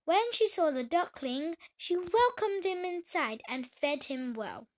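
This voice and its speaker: A woman reads a children's tale aloud. Her voice sits high, and she takes the narration slowly, as in a read-along for young listeners. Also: lively intonation. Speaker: children's story reader.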